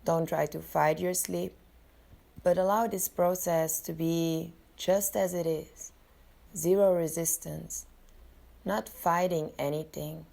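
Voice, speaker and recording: Very slow, low-pitched woman guiding meditation. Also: gravelly, darkish tone. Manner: soothing, unhurried, with long pauses between short phrases. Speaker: podcast host and yoga nidra guide.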